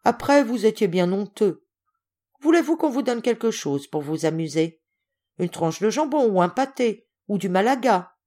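A woman's voice: mid-range voice, very animated, moderate pace, mellow, voice pushed up. A woman voicing a small, timid and candid little boy in a storybook, polite and naive. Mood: pleading. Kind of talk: acted storybook reading.

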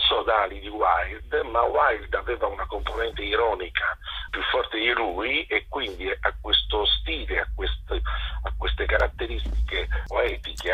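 Deep, very husky man. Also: pushed and bright, no room sound, low-quality recording. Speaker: theatre director being interviewed. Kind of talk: interview answers, explanatory.

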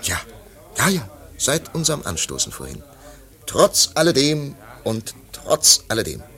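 Wistful gentleman with lively inflection. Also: mid-range voice, unhurried, exaggerated voice. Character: decent, wistful gentleman, cautious and concerned. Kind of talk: German radio drama acting.

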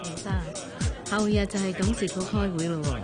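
An actress playing a flattering, scheming office employee who giggles and speaks coyly in Hong Kong Cantonese. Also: female voice, medium pitch, close to an everyday voice. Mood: fawning. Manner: fawning, giggly, slow and coy. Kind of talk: radio drama acting. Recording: radio drama with music underneath.

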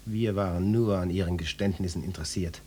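A pompous police detective in a satirical radio drama. His voice is low and husky, and he interrogates with pedantic, portentous self-importance. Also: fairly even intonation, a little fast, a little mellow. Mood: grave, self-important.

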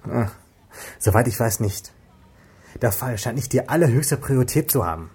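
A man's voice: medium pitch, animated, moderate pace, a little mellow, husky. An actor playing a guarded, subdued young male police officer in a naturalistic radio crime drama. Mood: uneasy, bitter. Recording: faint music behind the voice. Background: music.

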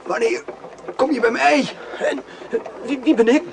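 A man playing a confused, suffering wounded soldier in a radio play, halting and strained. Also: very high-pitched, gravelly, crowd noise. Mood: pleading, dazed. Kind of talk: radio drama acting.

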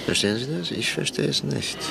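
Blunt, bitter Schindler. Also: male voice, mid-range voice, expressive, creaky, over music. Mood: stern. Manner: blunt, sardonic, measured. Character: Schindler, middle-aged man, blunt, bitter Reichstag deputy. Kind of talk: 1960s radio drama acting.

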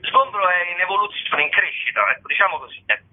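A man's voice: high-pitched, animated, fast talker. A man, a comedian guest in a casual interview, rambling and repeating his phrases. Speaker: comedian, guest promoting a variety show. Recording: tinny phone-like radio sound.

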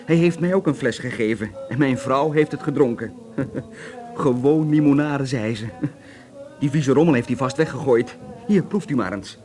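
A man's voice: medium pitch, moderate pace, lightly acted. An actor playing a knowing, gossipy barman in a radio drama, confiding and insinuating in a conversational way. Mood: amused, confiding.

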